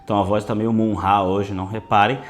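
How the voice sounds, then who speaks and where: A man's voice: on the low side, little pitch movement, quick pace, slight background noise. A man hosting a casual video lesson as a tarot teacher. His voice is a little off from dry air, and he keeps tagging his sentences with a questioning "né". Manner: chatty, tags many phrases with a questioning "né". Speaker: tarot teacher and channel host.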